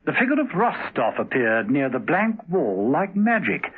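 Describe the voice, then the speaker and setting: A man's voice: on the high side, big pitch swings, moderate pace, breathy edge. A man reading dramatic scripted narration as the narrator of a 1970s radio drama. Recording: tinny, narrow-band vintage radio recording.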